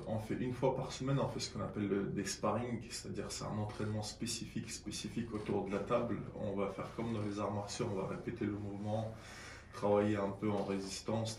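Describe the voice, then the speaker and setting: Low male athlete explaining, roomy sound. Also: a little mellow. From born in Siberia, moved to France aged 10. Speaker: arm-wrestling athlete, radio guest. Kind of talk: explanatory interview answers. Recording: roomy sound with faint noise.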